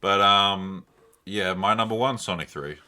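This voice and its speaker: A man's voice: low voice, a little slow, bright, forceful tone. A man chatting casually as a podcast host, slangy and informal with some swearing. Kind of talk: casual chat.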